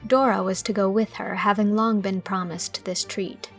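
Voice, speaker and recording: A woman narrating a literary audiobook, telling the story in a measured, expressive way from a script. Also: mid-range voice, faint music behind.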